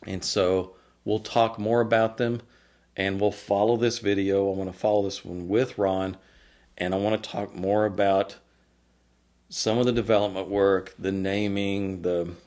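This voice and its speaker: Male product designer, fairly deep voice, informal explanatory presenter, enthusiastic and colloquial. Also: flat delivery, measured pace, a little mellow.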